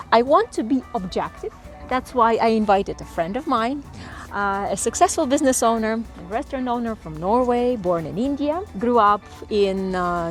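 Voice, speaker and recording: Woman host, fairly high and animated, steering a casual chat over soft music. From Ukraine. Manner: lively, leading questions. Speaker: matchmaker and host.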